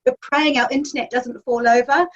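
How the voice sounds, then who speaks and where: A woman's voice: high-pitched, fairly even intonation, brisk. A woman, a magazine editor chatting enthusiastically in an interview, with lots of ums. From New Zealand. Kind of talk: interview answers. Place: home office. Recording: distant, dull lo-fi call audio.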